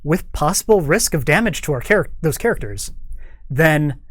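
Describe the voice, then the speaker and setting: A man's voice: fairly high pitch, lively intonation, normal speed, no room sound. A man speaking as a guest developer in a studio interview, explaining details informally and acting out imagined players' remarks.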